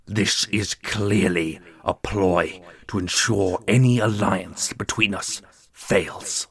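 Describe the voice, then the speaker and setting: A man playing a proud, earnest dark prince in a fantasy audio roleplay, speaking formally. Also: sits low, fairly even intonation, slow, creaky, heavily acted. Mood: indignant.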